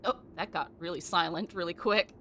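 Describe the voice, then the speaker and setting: A woman's voice: on the low side, steady tone, brisk, slight background noise. A woman doing casual livestream gameplay commentary, with playful asides and chatty remarks to the game's characters. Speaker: livestream game streamer. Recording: loud game music behind voice. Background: video game music.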